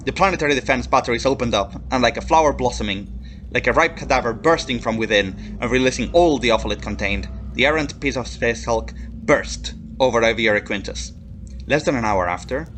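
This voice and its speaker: A man giving an emphatic, expressive dramatic reading of a dark science fiction horror story as its narrator. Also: mid-range voice. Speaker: narrator reading his own short story. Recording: faint background noise and ambience. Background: atmospheric background music.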